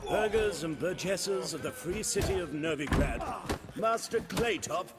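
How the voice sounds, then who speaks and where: An actor playing a pompous town crier in a video game, announcing fist fights in a grandiose, declamatory way. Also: male voice, high-pitched, lively intonation, unhurried, very noisy. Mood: showy, proclaiming. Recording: loud music under the voice. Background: loud music.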